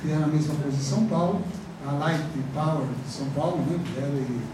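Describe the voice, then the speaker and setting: A Brazilian man gives a rambling, chatty university lecture, his fairly high voice full of fillers and tag questions. He sounds far from the microphone, in a strongly echoing classroom. Steady background noise runs beneath his words. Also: fast. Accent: Brazilian Portuguese. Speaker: professor. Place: large classroom. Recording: echoey classroom from far off, noisy.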